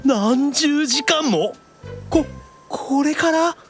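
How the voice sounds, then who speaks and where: An actor playing a youthful, excitable demon younger brother in an anime audio drama, casual and expressive in his reactions. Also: extremely high pitch, extremely slow, faint music behind, full character voice. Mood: startled.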